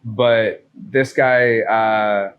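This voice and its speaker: A man's voice: on the low side, fairly even intonation, extremely slow, phone-quality sound, a little hiss or hum. Guy from north shore mass rambling thru a story on a podcast, swears a lot, lots of uhs and restarts. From Massachusetts, North Shore. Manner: profane, rambling, loose with fillers and restarts. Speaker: podcast guest. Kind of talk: casual storytelling conversation.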